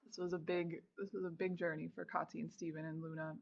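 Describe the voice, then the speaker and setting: A woman's voice: fairly low pitch, little pitch movement, quick pace, creaky. A woman casually hosting a group video call with encouraging remarks. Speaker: host. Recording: slightly dull video-call audio.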